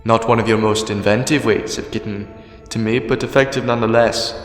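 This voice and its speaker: A man's voice: fairly low pitch, steady tone, fairly quick, lightly acted. Sardonic male time traveller, played by an actor, defiant, argumentative, audio drama, reverberant, faint music behind. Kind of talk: sci-fi fan audio drama acting. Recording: echoey reverberant hall, music underneath.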